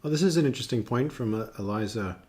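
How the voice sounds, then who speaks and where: A man's voice: mid-range voice, expressive, fast, darkish tone. A man hosting a live election analysis stream, chatting casually with many fillers as he thinks aloud and reacts to viewers. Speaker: political analyst hosting a livestream.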